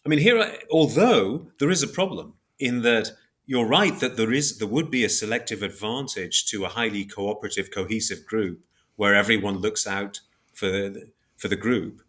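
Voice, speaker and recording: A man explaining ideas as an interview guest in a measured, precise academic way, often repeating and reformulating phrases as he thinks. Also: fairly low pitch, sharp tone.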